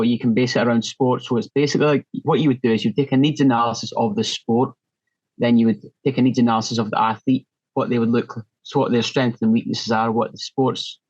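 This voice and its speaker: Husky-voiced Scottish man being interviewed. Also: medium pitch, lo-fi sound. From northeast Scotland. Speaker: podcast guest, strength and conditioning trainer. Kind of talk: conversational interview, explaining.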